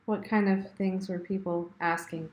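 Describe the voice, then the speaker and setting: A woman's voice: medium pitch, steady tone, moderate pace, darkish tone, roomy sound, a little hiss or hum. A woman hosting an interview over a video call, conversational and affirming with frequent "mm-hmm"s. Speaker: interview host.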